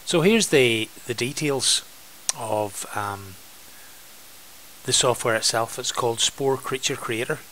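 A man with a Northern Irish accent, an art teacher giving an informal software demonstration for students. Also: mid-range voice, slow, slight background noise, low-quality recording.

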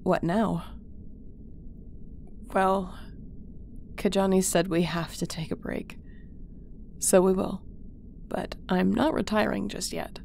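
A caring lady starship captain, really croaky fry, soft reassuring chat, sci-fi roleplay. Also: mid-range voice, fairly even intonation, slow, long pauses, slight background noise. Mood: relieved, thoughtful. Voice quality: creaky. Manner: tender, reassuring, conversational. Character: caring, loyal female spaceship captain. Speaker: voice actor. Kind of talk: audio roleplay acting.

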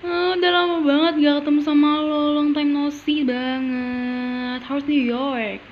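High-voiced gushing young Jakarta woman. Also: little pitch movement, moderate pace, soft background music. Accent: Indonesian, Jakarta. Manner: gushing, dramatic, code-switching. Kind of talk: casual slangy skit monologue. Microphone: phone close up. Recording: dull, slightly noisy phone recording.

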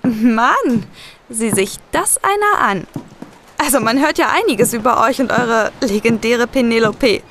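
A woman's voice: a little high, lively intonation, a little slow, a bit bright, slight background noise. A young woman playing a chatty, slightly hesitant client who seeks detectives' help in an audio drama. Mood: impressed. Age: young adult.

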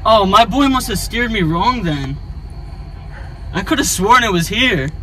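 A man with a high voice plays a casual prank customer. He hesitates and stammers, doubling back on his words. Faint car and outdoor noise sits behind him. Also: animated, a little slow, pushed and bright, rough recording. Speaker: prank vlogger. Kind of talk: casual prank ordering at drive-thrus. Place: in a car at drive-thru speakers.

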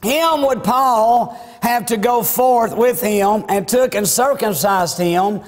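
High-pitched Southern preacher man in echoey hall. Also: takes it slowly. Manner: emphatic, folksy, often raised preaching voice. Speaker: Baptist preacher. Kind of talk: fervent church sermon with scripture reading. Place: church sanctuary, indoors. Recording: echoey church hall with long reverb.

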